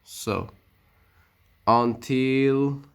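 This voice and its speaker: A man whose first language is Persian, narrating a computer setup walkthrough with frequent pauses, restarts and loose non-native phrasing. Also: medium pitch, fairly even intonation, gravelly, clear, resonant tone. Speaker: tech tutorial maker. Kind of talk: screen-recorded walkthrough narration.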